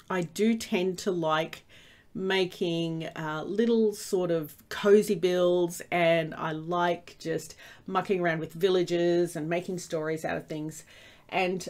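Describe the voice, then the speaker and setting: A woman with a stuffy cold, rambling through casual commentary over her Minecraft gameplay with lots of fillers and restarts. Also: on the low side. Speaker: Minecraft let's play host.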